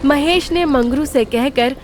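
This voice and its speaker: High-pitched woman narrating a story. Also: measured pace, darkish tone, faint music behind. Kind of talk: scripted children's story narration.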